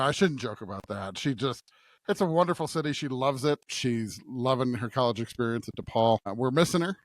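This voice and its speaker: A man's voice: mid-range voice, no room sound. A middle-aged American man co-hosting a podcast, chatting casually in a rambling, self-deprecating way. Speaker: pastor and podcast co-host. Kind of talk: casual unscripted chat. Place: studio at a church.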